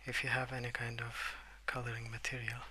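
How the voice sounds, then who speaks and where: A young adult man softly rambling in a breathy half-whisper for ASMR, relaxed and unhurried, close to the microphone. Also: medium pitch, slight background noise, low-quality recording. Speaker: ASMR video creator. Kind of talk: soft-spoken ASMR rambling while colouring.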